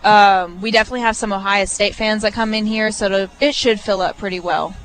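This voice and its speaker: A woman's voice: medium pitch, steady tone, a little fast, faint music behind, poor audio. A woman in her twenties, from Arkansas, talking casually as a guest on a live radio broadcast from a restaurant bar. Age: 20s. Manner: easygoing, upbeat, lots of fillers. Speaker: guest, restaurant assistant manager. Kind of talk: casual on-air chat.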